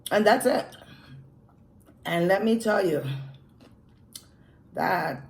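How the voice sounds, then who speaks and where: A woman's voice: mid-range voice, lively intonation, vocal fry, reverberant. A woman with a mixed Jamaican and Quebec French accent chatting casually while eating on camera. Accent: Jamaican and Quebec French mix. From Jamaica, raised in Montreal. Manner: slow, chatty, pauses to eat. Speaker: mukbang vlogger. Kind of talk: casual eating vlog chat.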